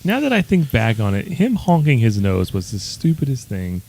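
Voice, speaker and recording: A man's voice: medium pitch, moderate pace, vocal fry. Guy on a hangout podcast, voice bounces all over, laughs a lot. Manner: animated, laughs often while talking. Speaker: podcast co-host. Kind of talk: casual chat. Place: room with several people.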